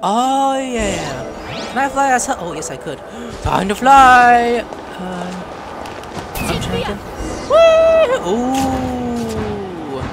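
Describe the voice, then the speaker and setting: A woman giving casual, excitable, exclamatory commentary while playing a video game, sometimes slipping into playful squeals. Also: a little high, drawn-out words. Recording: loud game music behind.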